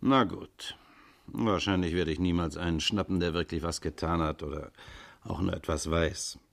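Stern detective inspector, lowish voice, gruff, dry, methodical; vintage radio crime play. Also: male voice, fairly even intonation, normal speed, slight background noise. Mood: stern, probing. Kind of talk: 1950s German radio crime drama acting.

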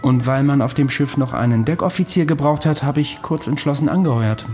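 A man's voice: medium pitch, a little fast, darkish tone, soft background music, close to an everyday voice. An actor in a German radio drama playing an ageless, worldly-wise man who recounts his past in a dry, matter-of-fact way. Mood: dry, weary recollection.

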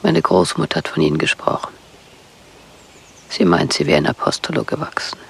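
An actress playing a withdrawn, brittle psychiatric patient in a radio drama, with a breathy, husky voice and a hushed, intense delivery. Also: female voice, extremely low pitch, sing-song, normal speed, full character voice. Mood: hushed, haunted. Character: withdrawn, brittle woman confined in a psychiatric clinic. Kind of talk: German radio play acting.

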